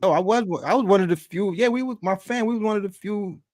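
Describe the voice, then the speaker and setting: A middle-aged man, co-hosting a podcast. His voice sits slightly high and sounds dry and close, and he answers the others with quick, agreeable slang. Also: darkish tone, dry recording. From Boston. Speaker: podcast co-host. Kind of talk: casual podcast banter.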